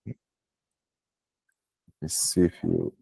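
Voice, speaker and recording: A man from Israel, a startup founder, talking casually on a video call with many pauses and fillers. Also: mellow, dry recording.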